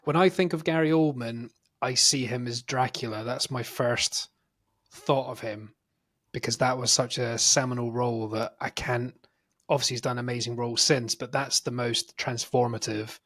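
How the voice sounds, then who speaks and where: A man's voice: mid-range voice, steady tone, moderate pace, fairly bright tone. A man co-hosting a casual film podcast, teasing his friends and leading the talk through a list of films. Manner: teasing, leads the rundown of films. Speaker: podcast co-host. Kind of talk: casual chat.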